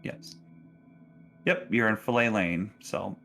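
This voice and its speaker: A man with a lowish, clearly bright voice acts as game master for a tabletop game. He narrates casually and encourages his players in an easygoing manner. Music plays faintly behind him. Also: slow.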